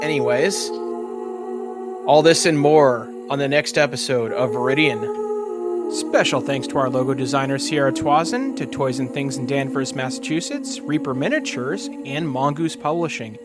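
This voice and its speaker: A man's voice: medium pitch, lively intonation, a little slow, over loud music. A man narrating a tabletop game as game master and podcast host.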